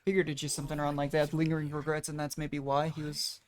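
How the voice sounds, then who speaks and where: A woman's voice: low voice, level tone, quick pace. A woman game streamer giving casual, musing commentary in an easygoing way while she plays. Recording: faint game music behind.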